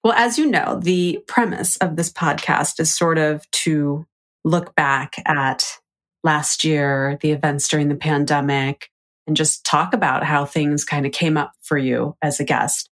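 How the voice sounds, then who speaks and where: Low-voiced upbeat woman podcast host. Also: steady tone, unhurried. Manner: upbeat, laughs often, encouraging. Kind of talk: casual interview chat.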